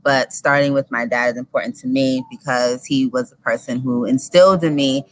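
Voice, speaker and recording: A young woman hosts a relaxed interview, her voice low for a woman, with a lively, quick delivery. She was recorded outside in a backyard. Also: no room sound, low-quality recording. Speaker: podcast host. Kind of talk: casual interview hosting. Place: backyard outdoors.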